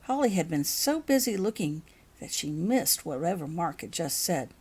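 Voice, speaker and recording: Woman with a lowish voice narrating a fantasy story like an audiobook. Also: very animated, normal speed, a little mellow, husky. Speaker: author reading own fan fiction.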